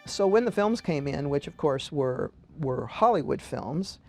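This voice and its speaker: A man's voice: fairly high pitch, expressive, measured pace, darkish tone, faint music behind. A man speaking unscripted as an archive interviewee in a documentary. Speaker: film archive staff interviewee.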